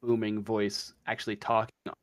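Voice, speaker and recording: A man improvising narration as game master of a tabletop roleplaying game, often pausing mid-sentence as he goes. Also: fairly low pitch, monotone, no room sound.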